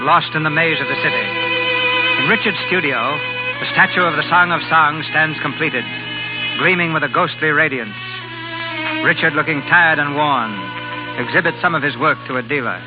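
A man with a slightly high voice narrates a 1930s radio drama from a script. Music plays clearly behind him, and the sound is muffled. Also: very animated, normal speed.

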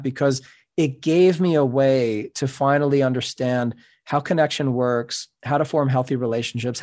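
A middle-aged man whose first language is Spanish, speaking as a podcast guest in an earnest, measured, explanatory way. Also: medium pitch, a little mellow. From New York City. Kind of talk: reflective interview talk.

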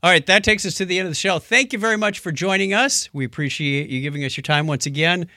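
A man co-hosting a podcast in casual chat, animated and joking, given to exclamations. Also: high voice, fast, no echo, studio quality. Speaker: podcast co-host.

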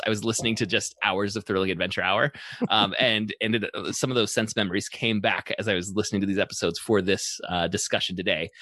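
A man's voice: on the low side, bright, forceful tone, no echo. A man hosting a podcast, moving between prepared introductions and casual discussion. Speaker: podcast host.

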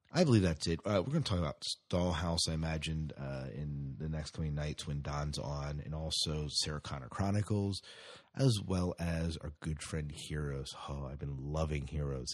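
A man's voice: extremely low pitch, a little mellow. A man hosting a podcast with casual solo commentary, rambling and hesitant, often repeating his phrases. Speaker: podcast host.

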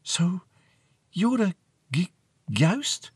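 A cheeky teenage girl, put on by a male narrator, pitched high and swooping with excitement. She is a dramatic storybook character who exclaims everything. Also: a little slow, no room sound, studio quality, exaggerated voice. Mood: nervous. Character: excitable, cheeky fifteen-year-old girl, Beatles fan.

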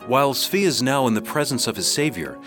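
A man's voice: medium pitch, expressive, a little fast, music in the background. A man reading a scripted radio narration in a storytelling style.

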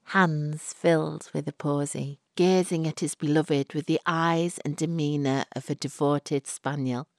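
A woman reading literary fiction as a narrator, in a measured, expressive storytelling manner. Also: low voice, no room sound, studio quality. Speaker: short story reader.

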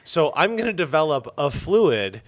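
Male podcast host, fairly high voice, sarcastic exasperated riffing, loose and casual. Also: normal speed. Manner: sarcastic, exasperated, animated ranting. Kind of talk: casual comic-book banter.

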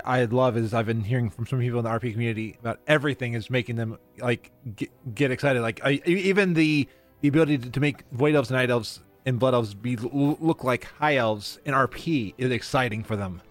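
A man's voice: medium pitch, brisk, faint music behind. A man co-hosting a gaming podcast who stammers through restarts and answers chat questions. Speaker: podcast co-host.

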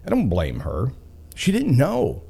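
A man's voice: medium pitch, huge pitch swings, a little slow, vocal fry, warm tone, slightly rough. A man hosting a motivational podcast, telling personal stories and repeating phrases for emphasis. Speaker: podcast host and author. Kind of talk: motivational storytelling monologue.

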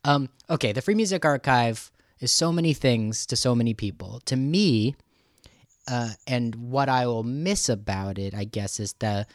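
A man hosting a podcast in a casual, halting, thinking-aloud way as he talks with a guest. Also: mid-range voice, no echo, clean studio sound. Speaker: podcast host interviewing a guest. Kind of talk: casual conversational podcast talk.

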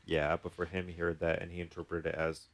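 Man, very low pitch, quick pace, casual explanatory podcast host. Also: monotone.

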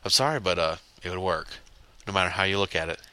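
A man's voice: sits low, expressive, quick pace, bright, forceful tone, smooth. Congested young man ranting on a podcast. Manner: blunt, swearing, rambling. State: congested, stuffy nose. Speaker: podcast host. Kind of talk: casual solo podcast rant.

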